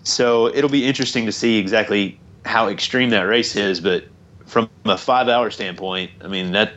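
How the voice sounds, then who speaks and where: A man's voice: fairly low pitch, moderate pace, a bit bright, lo-fi sound. A man in his late thirties from Tennessee, a dirt bike racer talking as an interview guest, modest and plain-spoken, often repeating words as he starts sentences. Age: late 30s.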